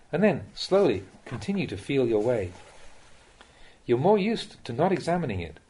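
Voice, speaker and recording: A man with a slightly husky voice reading a Buddhist Dhamma talk aloud as a monk, in a measured, instructive way that addresses the listener directly. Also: medium pitch, dark tone, poor audio.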